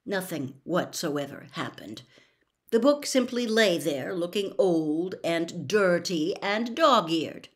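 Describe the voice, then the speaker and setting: A woman reading a story aloud in an expressive narrating style. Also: lowish voice, slow pace. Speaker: audiobook reader.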